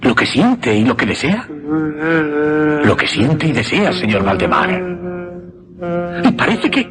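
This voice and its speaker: An actor playing an earnest, intense mesmerist, speaking in dramatic, halting, repeated phrases in a horror radio drama. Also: male voice, fairly high pitch, wildly swooping pitch, fairly bright tone, slight background noise, full character voice. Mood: urgent. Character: earnest mesmerist man, intense, increasingly horrified.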